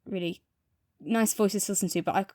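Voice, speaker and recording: Female podcast co-host, mid-pitched, casual review chat, very dry recording. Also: steady tone, brisk, darkish tone, no room sound.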